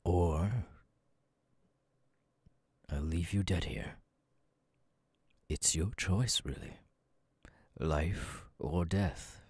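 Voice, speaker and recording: A sadistic demon king, played by a man, speaks softly and with haughty condescension in an audio roleplay. The voice is dark and very deep, delivered at an unhurried, drawn-out pace that keeps every line menacing. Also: husky, no room sound, heavily acted. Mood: calm, threatening. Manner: slow, soft-spoken, condescending. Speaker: voice actor.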